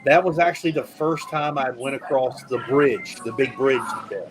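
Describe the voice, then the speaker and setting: Animated chatty male podcast host. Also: mid-range voice, moderate pace, background noise. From rural area. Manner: animated, excitable storyteller. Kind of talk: casual chat.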